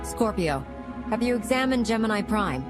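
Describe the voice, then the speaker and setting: Game heroine, woman performer: resolute commander, measured and sincere, mid register, scored cutscene. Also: medium pitch, very animated, music in the background, lightly acted. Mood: composed. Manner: measured, earnest, diplomatic. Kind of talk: scripted video game cutscene acting.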